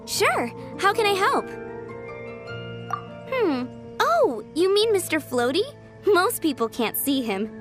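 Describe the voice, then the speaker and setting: Very high, dreamy little girl. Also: heavily acted. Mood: cheery. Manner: giggly, childlike, earnest. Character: sweet, dreamy little flower-shop girl, innocent and cheery. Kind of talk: animated video game voice acting.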